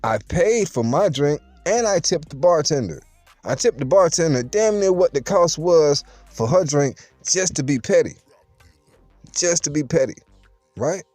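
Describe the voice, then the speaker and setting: Animated storytelling man with street slang. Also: on the high side, measured pace, dry recording, soft background music. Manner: streetwise, playful, boastful slang, addresses listeners directly. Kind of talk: casual storytelling to viewers.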